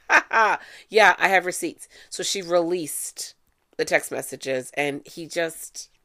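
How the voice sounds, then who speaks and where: Low voice lady, stuffed up nasal sound, blunt chatty podcast talk. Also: fairly bright tone. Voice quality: nasal from allergies. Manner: chatty, blunt, swears freely. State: congested. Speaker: podcast host. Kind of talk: casual solo podcast chat.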